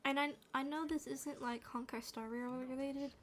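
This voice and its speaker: A woman gaming streamer with a naturally quiet, soothing voice, chatting in a loose, rambling way while she plays. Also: high-pitched, fairly even intonation, normal speed, a little hiss or hum. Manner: rambling, with fillers and restarts. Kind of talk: soft-spoken gaming chat.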